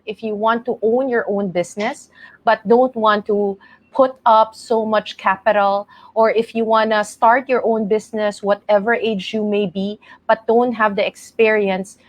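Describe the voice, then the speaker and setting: A woman's voice: a little high, no room sound. Woman host from the Philippines, chatty, laughs easily, dry close mic. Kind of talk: online panel talk hosting.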